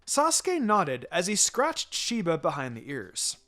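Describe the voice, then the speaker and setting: A man reading fan fiction aloud as narrator, in an expressive storytelling style. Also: high voice, measured pace.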